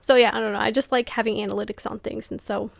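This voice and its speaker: Chatty lady writer vlogging, kinda high voice, losing her voice, dry close sound. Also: fairly even intonation, fast talker, creaky, no echo. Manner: chatty, many fillers, laughs often. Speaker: author vlogger. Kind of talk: casual chat to camera.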